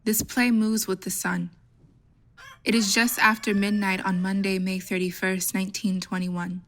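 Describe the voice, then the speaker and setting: Bright-voiced woman narrating a play. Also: mid-range voice, level tone, moderate pace. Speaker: narrator reading stage directions. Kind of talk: scripted narration.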